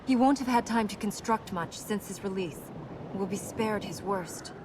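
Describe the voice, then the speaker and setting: An actress playing a serious, resolute young princess in a video game, measured and matter-of-fact. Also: female voice, mid-range voice, lightly acted. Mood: grave explaining. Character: solemn, resolute young princess guiding an adventurer. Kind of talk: video game character acting. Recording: noisy game capture with ambience.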